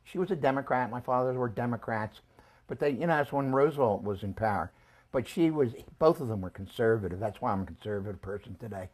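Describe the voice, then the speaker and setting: An older man being interviewed about his family, talking in a halting, casual way with frequent restarts and chuckles, his voice growing hoarse. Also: mid-range voice, a little mellow. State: losing his voice. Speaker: interviewee recalling family history.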